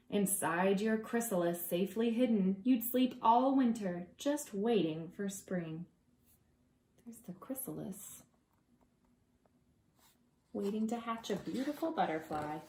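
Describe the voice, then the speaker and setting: A woman reading aloud to preschoolers as their teacher, expressive and gentle, drawing out words and adding conversational asides. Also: mid-range voice, reverberant. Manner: gentle, deliberate, drawing out words for young listeners.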